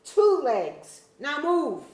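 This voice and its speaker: A woman's voice: high-pitched, very animated, extremely slow, darkish tone, exaggerated voice. A woman acting a scornful, hot-tempered noble lord in a staged play reading, with sneering archaic speech. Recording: echoey auditorium.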